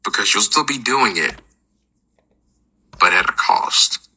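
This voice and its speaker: A middle-aged man telling other gamers about aging, in rough, humorous, complaining casual talk. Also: on the low side, animated, takes it slowly, sharp tone, rough recording.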